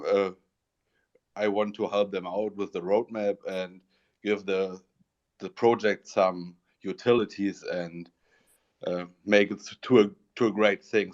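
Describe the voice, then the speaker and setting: A man with a German accent, a guest in an online audio room, talking haltingly as he searches for English words. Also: lowish voice. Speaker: guest, project founder. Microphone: online voice call. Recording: muffled online voice call.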